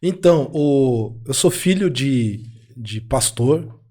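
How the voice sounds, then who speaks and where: A man's voice: medium pitch, lively intonation, takes it slowly, mellow. A man in his thirties with a Brazilian accent, a pastor guesting on a podcast and casually telling his personal story. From born in Argentina to Brazilian parents. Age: 30s.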